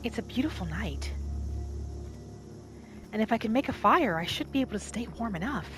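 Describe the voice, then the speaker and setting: Woman roleplaying a soft spoken homesick wood elf girl wandering in a fantasy game, talking to herself, music and rain in the back. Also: fairly high pitch, lively intonation, moderate pace, natural voice. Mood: musing, curious. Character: gentle homesick female wood elf wanderer, thinking aloud. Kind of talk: first-person roleplay narration of a video game.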